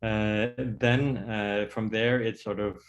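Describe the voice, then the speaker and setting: Norwegian-accented man, somewhat low voice, hesitant workshop presenter on a video call, lots of fillers. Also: flat delivery, slow, muffled recording. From Norway. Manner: hesitant, many fillers and restarts. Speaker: psychiatrist giving a workshop talk.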